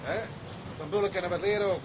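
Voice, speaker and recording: Actor as higher-voiced cautious bosun, noisy recording. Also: male voice, rapid-fire, lightly acted. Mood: pondering. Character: cautious, practical ship's bosun. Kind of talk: seafaring radio drama acting.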